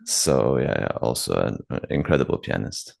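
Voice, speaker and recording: A man in his thirties with a low, deep voice talks as a guest in an interview. His speech is calm and measured, never pushy. He is polite and uses frequent fillers. His first language is Georgian. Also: vocal fry. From Georgia, raised in Hungary. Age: 30s. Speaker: guest pianist. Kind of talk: interview conversation.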